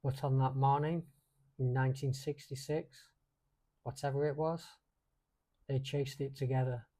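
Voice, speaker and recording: A man narrates in a scripted documentary style suited to mysteries. His voice sits at an average male pitch with a soft, dark tone. He speaks in a measured, serious way, setting short phrases apart for dramatic weight. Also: little pitch movement.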